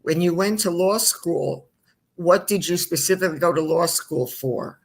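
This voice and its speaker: A woman's voice: lowish voice, normal speed. Chatty older woman host in her seventies. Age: 70s. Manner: chatty, rambling questions with restarts. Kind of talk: interview.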